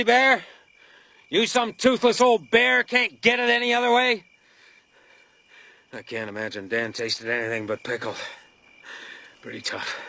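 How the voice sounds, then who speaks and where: An actor playing a weary, hard-drinking detective, terse and defiant, in a radio drama. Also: male voice, mid-range voice, bright, forceful tone. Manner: terse, clipped, world-weary.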